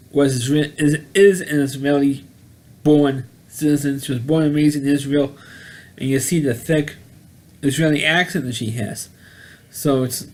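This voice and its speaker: A man giving a casual, unscripted video review as host, rambling and halting, often repeating words and phrases. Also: on the high side, darkish tone.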